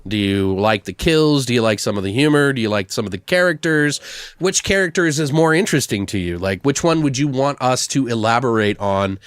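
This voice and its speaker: A man's voice: medium pitch, a little fast, clean tone, no echo. Rambling male podcast host, dry sound. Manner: rambling, profane, animated retelling. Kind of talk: casual chat, pitching a movie story.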